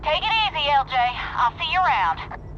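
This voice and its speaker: A woman's voice: high-pitched, big pitch swings, brisk. A woman voicing a friendly, chatty female trucker who talks over a game radio. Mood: easygoing. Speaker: game character. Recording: muffled game radio over loud noise.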